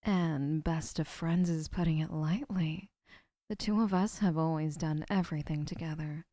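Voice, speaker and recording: An adoring young woman who gushes over the person she helped abduct, as a female voice actor plays her. Her voice is mellow and slightly low, soft and often whispered, in a dry, close recording for an audio roleplay. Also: steady tone, moderate pace, no echo, close to an everyday voice. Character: adoring obsessive young woman kidnapper, gushing.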